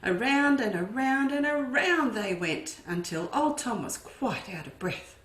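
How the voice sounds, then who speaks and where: A woman's voice: fairly high pitch, lively intonation, a little slow, fairly bright tone. A woman, a children's educator, reading a farm story aloud expressively and turning to her young listeners with asides. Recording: echoey room.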